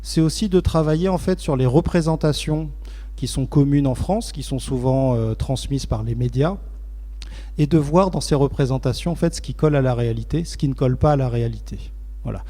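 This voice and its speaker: A man with a mellow voice moderates a public debate and opens the floor to the audience. He speaks hesitantly, with fillers. A long hall reverb hangs on his words. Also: medium pitch, fairly quick.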